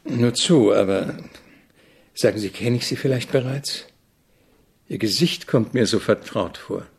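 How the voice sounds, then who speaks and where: An actor playing an opinionated, irritable avant-garde composer in a German radio drama, speaking in a didactic, deliberate way with a gravelly, husky voice. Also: male voice, mid-range voice, darkish tone. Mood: guarded.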